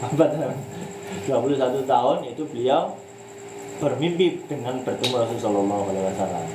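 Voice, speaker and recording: A man's voice: mid-range voice, fast, echoey room, background noise. Man teaching religion. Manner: mixes in Javanese words, asks himself rhetorical questions; restarts words and draws out key phrases. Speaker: religious teacher leading a book study. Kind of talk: religious study lecture.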